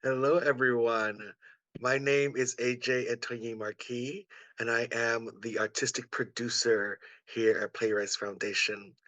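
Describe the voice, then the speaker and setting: A man with a bright, crisp-edged voice hosts an online event. He is enthusiastic and welcoming as he introduces guests and asks them questions. The sound is dry, with little room in it. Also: medium pitch, fairly even intonation, unhurried, no room sound, low-quality recording. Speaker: co-host, artistic producer. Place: indoors on a video call.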